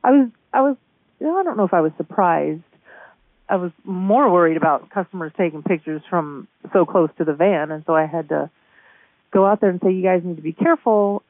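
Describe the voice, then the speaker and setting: A woman speaks over a tinny, band-limited phone connection with a noticeably creaky edge. She recounts things casually as an interviewee, often restarting and repeating words as she goes. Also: on the low side, fairly quick, no room sound. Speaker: store manager being interviewed. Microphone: telephone call.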